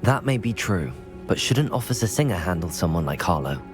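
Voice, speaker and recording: A man's voice: low voice, sing-song, a little fast, music in the background. A man playing a polite, guarded student wizard in a video game, understated in manner. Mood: cautious.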